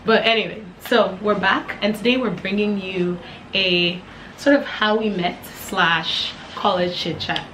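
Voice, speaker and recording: A young woman from Nigeria with a worn-out, hoarse voice, casually co-hosting a chat and leading the talk. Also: mid-range voice, measured pace, roomy sound, noisy background. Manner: chatty, laughing, leads the talk. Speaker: co-host.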